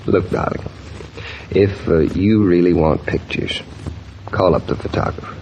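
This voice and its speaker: Dry, teasing husband, noisy recording. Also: male voice, deep voice, measured pace, gravelly, darkish tone. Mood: wry, contrary. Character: wry, gruff new father and businessman husband. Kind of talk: old-time radio soap opera acting.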